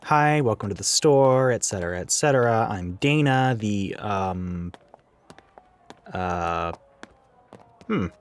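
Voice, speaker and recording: A man's voice: fairly low pitch, animated, takes it slowly, long pauses, clear, resonant tone. A man playing a chatty, sarcastic, gender-ambiguous retail coworker who goes by she, in a casual, rambling audio roleplay addressed to the listener. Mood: breezy, flippant. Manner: rambling, sarcastic, flippant, with sighs and chuckles.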